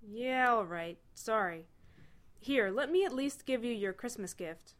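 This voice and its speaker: A woman voicing a blunt, excitable male alien hitchhiker in a read-aloud story, brash and eager. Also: on the high side, unhurried, voice pushed up. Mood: apologetic. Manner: brash and eager, swinging between pushy and sheepish. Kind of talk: storybook reading.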